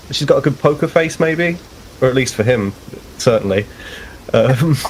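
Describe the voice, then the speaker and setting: A man's voice: medium pitch, a little hiss or hum, rough recording. A man chatting casually as a player in a tabletop roleplaying game, describing things a little hesitantly.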